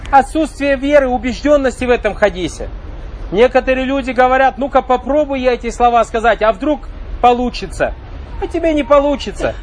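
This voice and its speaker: A man with a fairly high voice teaches a religious lesson in a calm, measured way. He explains each point patiently, pauses for rhetorical questions to his listeners, and recites short phrases. A faint hum of noise sits behind him. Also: poor audio. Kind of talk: religious lecture.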